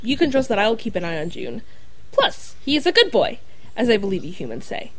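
A woman's voice: mid-range voice, huge pitch swings, fairly quick. A woman playing a talking dog in an audio drama, an aloof park guide with a formal, matter-of-fact manner. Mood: teasing, formal.

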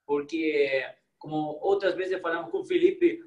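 A young man from Argentina with an Argentine Spanish accent, a guest in a casual interview over a video call, talking warmly and enthusiastically, sometimes pausing to search for words. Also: a little high, huge pitch swings, echoey room. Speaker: guest, psychologist. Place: small indoor room.

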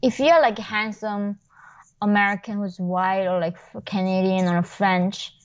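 A woman's voice: medium pitch, steady tone, smooth, dull audio. A young woman with a Korean accent, speaking English as a second language, telling her personal story in an interview over a video call from her kitchen. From North Korea. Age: young adult. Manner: fast, emphatic, frequent "like" fillers. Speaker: guest. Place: home kitchen. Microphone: wired earbuds on video call.

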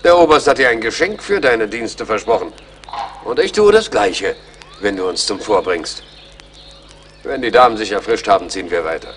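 An actor playing a courteous, earnest English army major in a radio play, speaking in a formal manner. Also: male voice, fairly low pitch, animated, moderate pace, fairly bright tone, a little hiss or hum. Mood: persuading. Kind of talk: German radio play acting.

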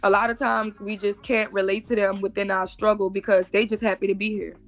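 A woman's voice: mid-range voice, little pitch movement, fairly quick, faint music behind. A woman in her thirties, joining a live stream over a video call and explaining things emphatically, often repeating herself. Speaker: guest.

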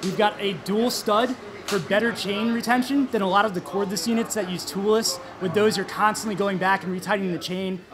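Confident man pitching a tool. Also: steady tone, a little fast, hardly any pauses, a crowd in the background. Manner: confident, fluent, rattles off features. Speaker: product representative. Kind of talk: product pitch. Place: tent at a trade show.

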